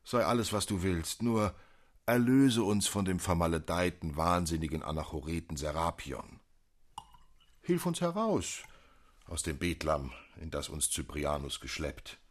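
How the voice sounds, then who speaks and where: An actor playing an impatient, theatrical member of a literary circle in a radio drama, pleading emphatically. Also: male voice, sits low, lively intonation, unhurried. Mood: exasperated pleading. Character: impatient, theatrical literary club friend, exasperated by madness.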